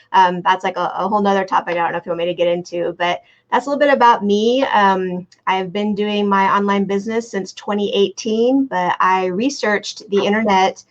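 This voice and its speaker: A middle-aged American woman chats as a guest on a video call, her voice at a middle pitch. She talks freely, with frequent fillers and easy laughter. The call audio is dull and lo-fi. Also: a bit bright. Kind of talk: casual interview talk.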